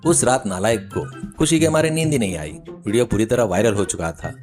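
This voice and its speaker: A man's voice: lowish voice, expressive, brisk, music in the background. A man narrating a scripted children's story as the storyteller.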